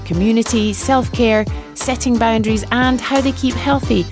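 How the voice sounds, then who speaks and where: A woman's voice: a little high, steady tone, moderate pace, over music. A woman hosting a podcast, chatty and upbeat, introducing an episode and then interviewing guests. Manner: upbeat, chatty, laughs often. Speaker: podcast host.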